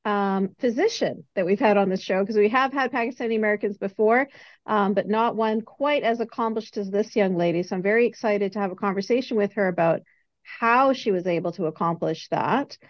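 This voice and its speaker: A woman's voice: medium pitch, fairly quick. A woman hosting a podcast interview, chatty and curious, asking long winding questions. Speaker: podcast host. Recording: dull lo-fi audio.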